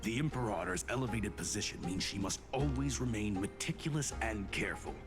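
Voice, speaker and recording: A man voicing a stern imperial guard in a fantasy game, formal, measured and unyielding. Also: lowish voice, over music, natural voice. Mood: stern, courteous.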